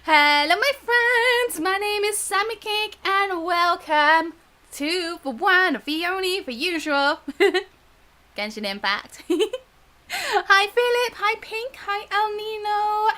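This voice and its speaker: A woman chatting casually and bubbly as she hosts a live game stream, quick to giggle. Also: very high-pitched, expressive, bright, forceful tone. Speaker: live stream gamer and host.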